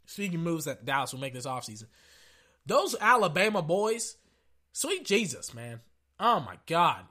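A young man hosts a loose sports podcast in a high, bright voice with a forceful edge. His throat is sore and his voice is fading, yet he stays brash and excitable, calling out to "ladies and gentlemen" as he riffs. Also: normal speed. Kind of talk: casual sports podcast talk.

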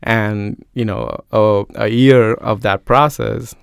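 A man's voice: lowish voice, steady tone, slow pace, gravelly, clear, resonant tone, no echo, clean studio sound. A man from India, a Telugu speaker, talking thoughtfully as an interview guest with lots of fillers. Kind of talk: conversational interview.

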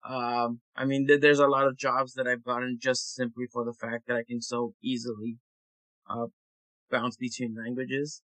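Male actor, easygoing, halting with many ums, dry close sound. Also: medium pitch, no echo, poor audio. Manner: relaxed, hesitant, frequent "um". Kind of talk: casual podcast interview.

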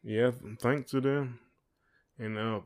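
A man's voice: medium pitch, fairly even intonation, slow pace. A man co-hosting a podcast in casual chat, rambling and repeating phrases as he thinks aloud. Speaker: podcast co-host.

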